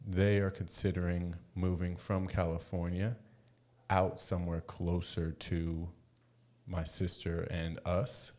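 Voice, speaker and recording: A man with a deep, low-pitched voice co-hosts a podcast. He talks informally, in an encouraging, conversational way. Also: level tone, slow pace, darkish tone. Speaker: podcast co-host. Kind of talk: casual chat.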